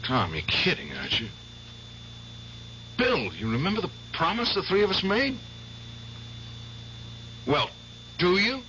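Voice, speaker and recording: A man's voice: sits high, very animated, unhurried, pauses often, exaggerated voice. An actor playing an obsessed, vengeful male Korean War veteran in a 1970s radio drama, intense and insistent. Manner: intense, insistent, swings loud and soft. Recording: dull old radio broadcast with hiss.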